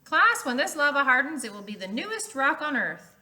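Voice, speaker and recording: An enthusiastic children's storybook schoolteacher, as read by a woman, unflappable and keen. Her voice is raised and very bright, and she speaks with emphasis. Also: high-pitched, sing-song, brisk, voice pushed up, heavily acted. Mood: excited.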